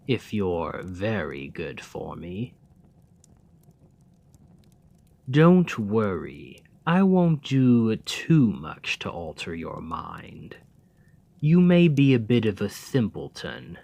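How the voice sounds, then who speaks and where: A man voicing a scheming, seductive demon king in an ASMR roleplay, speaking slowly and often in a close whisper. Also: fairly low pitch, vocal fry, a little mellow, exaggerated voice. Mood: menacing. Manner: slow, deliberate, often whispered.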